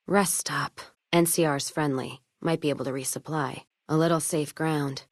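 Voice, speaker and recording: A woman's voice: sits low, dry recording, clean studio sound, lightly acted. A woman playing a blunt, hard-drinking, foul-mouthed wasteland companion in a video game, wry and wary, with clipped, folksy phrasing. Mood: watchful, dry.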